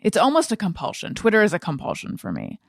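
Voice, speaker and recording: A woman hosting a podcast, talking casually and haltingly as she thinks aloud and repeats words. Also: mid-range voice, huge pitch swings, creaky, no room sound, studio quality. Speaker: podcast host and interviewer. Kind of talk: casual conversational podcast talk.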